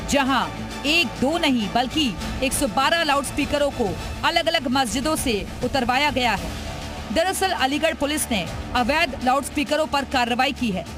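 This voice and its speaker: A high-pitched woman narrates a scripted news report. Her delivery is dramatic and emphatic, set over clearly audible music.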